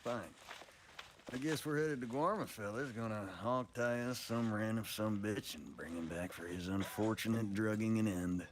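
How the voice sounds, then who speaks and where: A man's voice: fairly low pitch, normal speed, a little hiss or hum, natural voice. A man playing a blunt, sardonic Old West outlaw in an improvised roleplay, wry and colloquial. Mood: wry, resolute. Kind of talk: improvised western roleplay in character.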